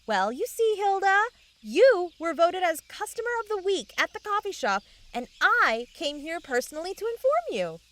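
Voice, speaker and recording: Eager high-voiced young fairy, forest noise behind. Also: female voice, sing-song, moderate pace, a bit bright, heavily acted. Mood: excited. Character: eager young fairy woman Gemma on a quest. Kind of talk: fantasy comedy audio drama with inner narration. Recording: outdoor nature sound effects behind voice.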